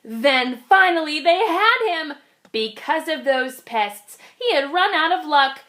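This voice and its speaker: A woman reading a children's storybook aloud in an expressive, dramatic way with deliberate phrasing. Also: high voice. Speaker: children's read-aloud reader.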